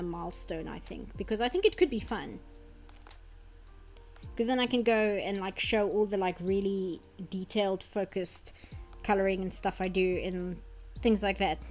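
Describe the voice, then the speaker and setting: A young woman with a South African accent and an Afrikaans background chats in a rambling, casual way while she draws, now and then dropping into quiet muttering as she works. Her voice sits at a middle pitch for a woman. Soft music plays faintly in the background. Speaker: artist streamer. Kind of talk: casual chat while drawing on stream.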